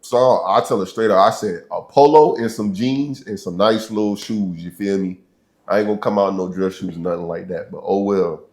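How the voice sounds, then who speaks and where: A young man with a very deep voice hosts a casual podcast from a college dorm. He talks in easygoing slang and breaks into laughter while telling stories. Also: normal speed, darkish tone. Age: young adult. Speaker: podcast host. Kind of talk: casual chat.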